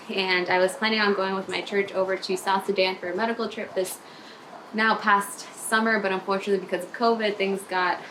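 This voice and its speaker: A woman's voice: medium pitch, noisy background. A young woman from Maryland, an emergency nurse, talking to the camera in a casual, upbeat and chatty way. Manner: upbeat, chatty, laughs often. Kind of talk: casual video application to camera. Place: indoors, plain room with panelled wall. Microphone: camera at arm's length in front of her.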